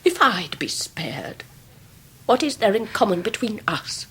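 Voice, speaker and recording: An actress playing a scornful upper-class English Victorian lady in a radio drama, with a breathy, biting, theatrical delivery. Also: medium pitch, sing-song, unhurried, a bit bright, full character voice. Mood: cold contempt. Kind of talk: 1990s radio drama acting.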